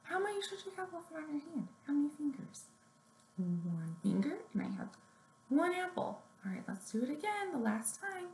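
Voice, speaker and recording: Creaky-voiced woman leading children's story time. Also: high-pitched, sing-song, moderate pace, darkish tone. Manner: simple, encouraging instructions addressed to small children. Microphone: webcam or laptop at arm's length. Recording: roomy echo, noticeable background noise, webcam sound.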